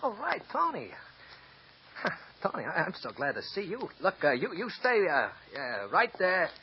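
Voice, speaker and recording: A man's voice: sits high, wildly swooping pitch, moderate pace, a little hiss or hum, full character voice. An actor playing a nervous, tipsy small-time male accomplice who stammers and wheedles, in 1940s radio acting. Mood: eager. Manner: stammering, wheedling then snarling. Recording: dull lo-fi old radio recording.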